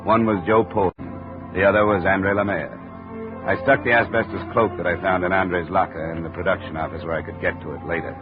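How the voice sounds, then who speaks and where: An actor playing a dry, wry insurance investigator who narrates in first person, in a 1950s radio drama. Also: male voice, low-pitched, steady tone, a little fast, close to an everyday voice. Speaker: narrator and lead. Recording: muffled old radio broadcast with music.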